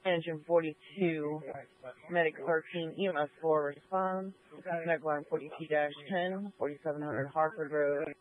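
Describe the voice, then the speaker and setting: A man's voice: on the high side, steady tone, moderate pace, phone-quality sound. A man working as an emergency dispatcher, reading clipped, formulaic announcements over the radio.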